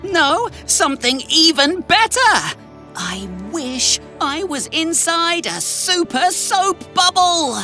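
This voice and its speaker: Excitable young boy cartoon engine, very lively intonation, wide-eyed, helpful, music underneath. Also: extremely high pitch, takes it slowly, exaggerated voice. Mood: eager, thoughtful. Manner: earnest, wide-eyed, exclamatory. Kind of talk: children's cartoon voice acting.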